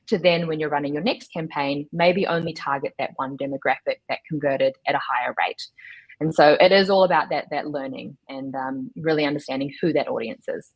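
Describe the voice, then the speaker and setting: A woman, giving measured, instructive explanations as an interview guest. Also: low-pitched, rough recording. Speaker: guest, digital agency chief executive.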